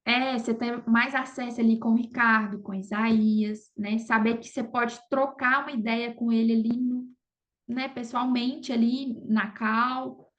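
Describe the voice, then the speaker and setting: High-voiced Brazilian woman, dull recording. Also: fairly even intonation, unhurried. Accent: Brazilian Portuguese. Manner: conversational, frequent tag questions seeking agreement. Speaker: podcast guest, affiliate marketer. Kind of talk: casual interview storytelling. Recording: dull lo-fi audio.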